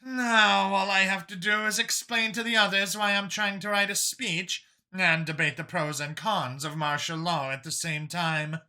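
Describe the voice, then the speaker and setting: A man voicing a weary, composed alien woman in government, speaking in a measured, hesitant way for a science fiction audiobook. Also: high voice, steady tone, sharp tone, smooth, pitched above the performer's own voice. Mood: weary, worried.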